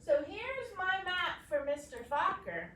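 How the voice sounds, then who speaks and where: A woman schoolteacher giving an expressive storybook read-aloud lesson in a clear, explanatory classroom manner. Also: very high-pitched, measured pace, slight background noise.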